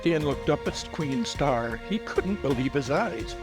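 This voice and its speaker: A man playing the measured narrator of a fantasy audio play, reading scripted storytelling lines. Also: fairly high pitch, lively intonation, over music. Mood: narrating.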